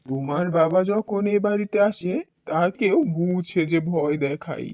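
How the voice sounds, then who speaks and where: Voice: mid-range voice, a little slow, darkish tone, no room sound, dull audio, natural voice. A voice actor playing an old male caretaker in a cartoon, a scheming, fawning villain. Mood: confessing. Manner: fawning, then confessing villain. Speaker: cartoon character voice.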